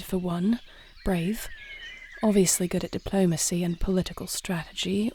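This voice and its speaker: A woman playing a dignified, dutiful young warrior princess in a fantasy audio roleplay, speaking formally and with measured, stately diction. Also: mid-range voice, mellow, a little hiss or hum, lightly acted. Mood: anxious self-talk.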